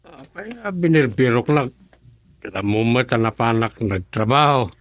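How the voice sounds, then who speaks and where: A man's voice: mid-range voice, big pitch swings, measured pace, dry recording. A man playing a defensive young husband in an Ilocano-accented radio drama. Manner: evasive, defensive. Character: young husband dodging his wife's questions.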